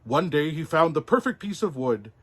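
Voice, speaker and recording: A man narrating a children's storybook as Santa, in an expressive, deliberate way. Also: fairly high pitch, husky.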